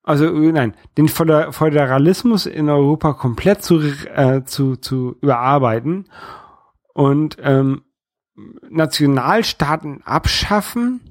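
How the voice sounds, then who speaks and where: A man from northern Germany with a light North German accent, talking casually about opinions, haltingly and often repeating words as he searches for the next. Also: a little high, dry recording, clean studio sound. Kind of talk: casual political discussion.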